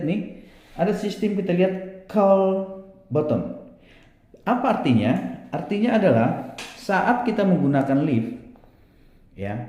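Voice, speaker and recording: A man's voice: mid-range voice, mellow. A man giving an informal technical lesson as a trainer, explaining step by step and often checking in with a tag word. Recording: roomy, echoing sound.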